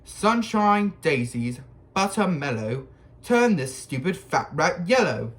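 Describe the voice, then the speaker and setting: A reader putting on a cheeky, offhand schoolboy, blunt and easygoing, with a lively rise and fall to the voice. It stays near the teller's own sound in this storybook reading. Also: mid-range voice, takes it slowly.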